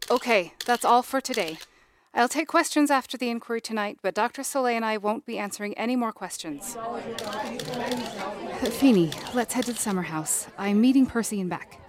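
A woman's voice: fairly high pitch, noisy background, close to an everyday voice. A woman playing a candid, wry leader and loyal confidante in a fiction podcast drama, with a blunt, teasing manner. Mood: assured.

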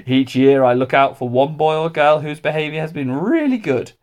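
A man's voice: a little high, expressive, moderate pace, a little mellow, voice pushed up, close to an everyday voice. Man playing a declamatory, ceremonious headmaster. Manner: formal, declamatory speechmaking. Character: pompous, kindly male headmaster addressing school assembly.

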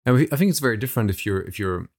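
Low-voiced musing male podcast host. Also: lively intonation, rapid-fire, darkish tone, breathy edge. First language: not English. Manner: musing, searching questions. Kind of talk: interview conversation.

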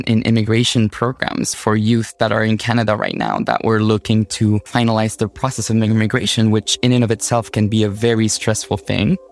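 A young man from Mexico, a native Spanish speaker with a Mexican Spanish accent, speaking measured in a documentary interview as a youth advocate. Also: fairly low pitch.

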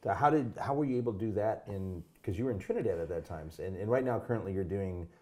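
A man's voice: lowish voice, quick pace, warm tone. An American man from the Midwest speaking as the host of an interview podcast. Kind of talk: casual interview chat, with a read-out intro.

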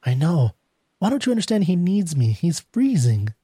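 A man's voice: fairly high pitch, animated, normal speed, dark tone, husky, no echo. Grief-stricken young wife for a story reading performed by a man: frantic, imperious, pleading, very dry recording. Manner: pleading, insistent.

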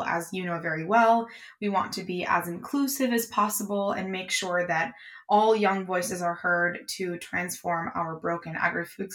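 A woman's voice: on the low side, fairly bright tone. A woman hosting a podcast interview in an effusive, encouraging manner. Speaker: podcast host.

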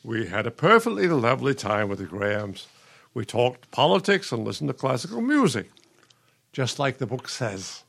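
Radio play, patient older husband: nostalgic, reflective, mid-pitched voice with expressive swings. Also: male voice, moderate pace, vocal fry, slightly rough, heavily acted. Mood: puzzled. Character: patient, nostalgic elderly husband, soothing and wistful.

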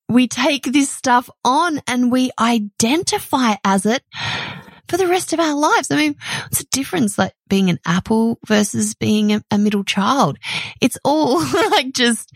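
A middle-aged woman hosting a solo podcast, talking in an animated, chatty way with a loud voice and frequent laughs. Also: medium pitch, no echo. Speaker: podcast host.

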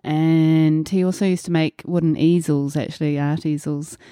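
A woman's voice: low voice, steady tone, moderate pace, dark tone, clean tone, no echo, clean studio sound. A New Zealand woman in her forties, a podcast host talking casually and reflectively about her life, with many fillers. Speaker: podcast host being interviewed. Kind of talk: casual conversational interview.

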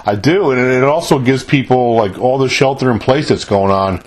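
Male radio host, husky voice, relaxed chatty interviewer. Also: medium pitch, moderate pace. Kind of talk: casual interview chat.

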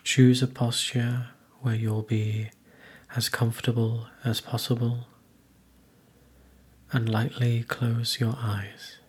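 Slow, husky man guiding meditation. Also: medium pitch, little pitch movement. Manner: gentle, measured, with long pauses between phrases. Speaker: guided meditation teacher. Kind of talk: guided meditation, soothing instruction.

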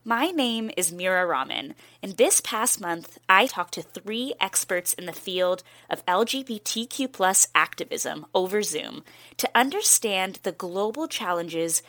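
A young woman hosts and narrates a radio-style news feature from a written script. Her delivery is clear and even, and her voice sounds close and dry with no room around it. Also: mid-range voice, measured pace, dry recording. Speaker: reporter and host.

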